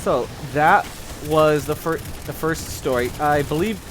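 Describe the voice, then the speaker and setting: A man hosting a casual live stream, talking to chat in a halting, unhurried way. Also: on the high side, noisy background. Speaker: game streamer, host. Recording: loud game gunfire behind voice. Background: video game gunfire.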